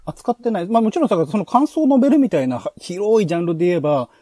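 A man's voice: sits high, animated, very fast, mellow, no room sound, studio quality. A man hosting a podcast, explaining things politely in a casual planning discussion. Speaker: podcast host.

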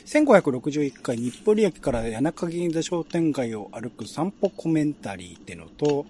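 Male, radio co-host, informal conversation, very varied pitch, faint background noise. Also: sing-song, a little slow, a little mellow. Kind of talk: casual chat.

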